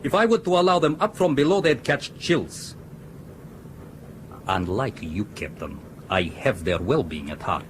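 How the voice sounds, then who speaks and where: A man's voice: mid-range voice, very animated, a little slow, noisy background. A man acting a formal, stonewalling Soviet ship's captain in a radio drama, with curt, precise speech. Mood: curt, firm.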